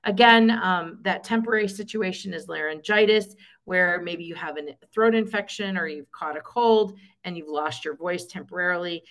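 A woman from Michigan with a Northern US accent, giving a webinar lecture over slides in a clear, explanatory way with frequent ums. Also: fairly low pitch, normal speed, few pauses, a bit bright. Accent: Northern US, Michigan with some Texas. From Michigan, USA. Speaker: accessibility presenter.

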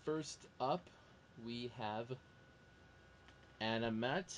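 A man's voice: mid-range voice, extremely slow. A man hosting a podcast with casual banter, introducing and chatting with his panel. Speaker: podcast host. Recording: faint background noise.

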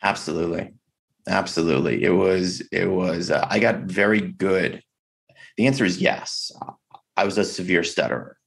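A man speaking candidly as a podcast guest over a video call, reflective and halting, with many fillers and restarts. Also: low voice, gravelly, breathy edge. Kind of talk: candid conversation.

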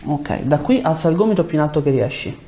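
A man's voice: a little high, expressive, fast talker, warm tone, a little hiss or hum. A man in his thirties, a chiropractor, explaining and giving short clinical instructions and questions to a patient in speech. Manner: short directive questions and prompts. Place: small treatment room, indoors. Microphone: lapel mic.

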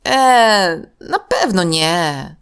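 A woman's voice: fairly low pitch, huge pitch swings, extremely slow, a bit bright, smooth, pitched above the performer's own voice, heavily acted. A woman voicing a sheepish, evasive young boy in a children's story, speaking hesitantly.

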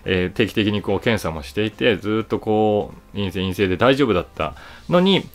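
A man's voice: lowish voice, expressive, brisk. A man in his forties giving casual, explanatory solo commentary to camera in a conversational, emphatic way. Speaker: voice actor and YouTube host.

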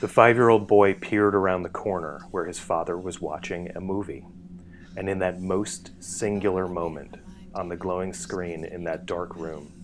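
A man reading scripted storytelling narration in a measured, dramatic literary way. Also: sits low, background noise. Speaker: narrator, author.

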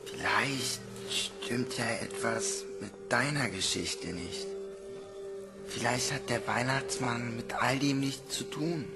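A man's voice: mid-range voice, slow pace. A young man playing a tall, protective, skeptical orphan boy in a German audio drama, blunt and dry. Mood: dismissive, protective. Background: music.

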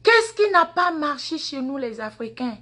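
Impassioned, high-pitched woman with Mina accent. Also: sing-song, moderate pace, bright, forceful tone. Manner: impassioned, lecturing, repeats words and questions. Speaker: social media personality. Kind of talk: live phone video monologue. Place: large indoor office waiting room. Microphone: phone at arm's length.

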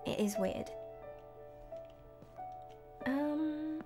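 A woman's voice: high-pitched, very slow. A woman giving casual, nervous and hesitant commentary while she plays a horror game, often muttering. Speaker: gamer and voice actress. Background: game music.

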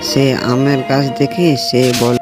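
A man's voice: medium pitch, level tone, measured pace. Male storyteller narrating over music. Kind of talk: scripted children's fable narration. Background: background music.

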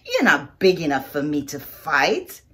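A woman voicing a grouchy, bossy ladybug who picks fights, shouting in a high voice. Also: huge pitch swings, unhurried, heavily acted. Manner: shouting, snappish.

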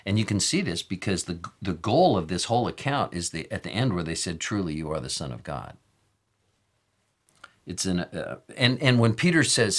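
Low, slightly raspy older American pastor. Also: male voice, fairly bright tone. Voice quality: a little raspy. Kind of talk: informal sermon teaching. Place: office or study with bookshelves, indoors.

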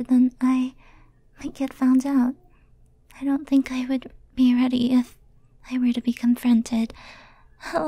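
A woman's voice: a little high, dark tone, breathy edge, no echo. A woman playing a shy, obsessive young secret admirer in an intimate roleplay audio, anxious and hesitant, often murmuring breathily. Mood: anxious, self-reproaching. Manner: nervous, hesitant, stammering when flustered. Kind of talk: intimate roleplay audio acting, first-person monologue.

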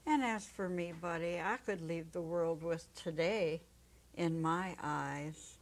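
An elderly woman reading the part of a gentle, devout old woman in a dramatic story, tender and halting. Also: fairly low pitch. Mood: wondering. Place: small indoor set. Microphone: lapel.

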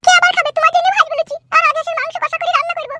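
A woman voicing a quarrelsome young village wife in a cartoon comedy, scolding and complaining, with a pitched-up voice. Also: steady tone, racing, heavy background noise. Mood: practical, softened. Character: nagging, tearful young village wife, affectionate but quarrelsome.

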